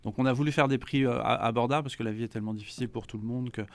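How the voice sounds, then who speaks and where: A man's voice: mid-range voice, steady tone, very fast, dry recording. A man with a French accent from France, a café co-owner speaking as a radio guest, often stammering and repeating words. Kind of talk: radio interview chat.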